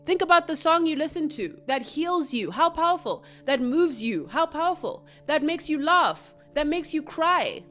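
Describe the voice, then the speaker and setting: A woman from Africa, hosting a solo spiritual podcast, earnest and reflective with soft asides. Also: high voice, sing-song, normal speed, few pauses, soft background music. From South Africa. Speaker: podcast host.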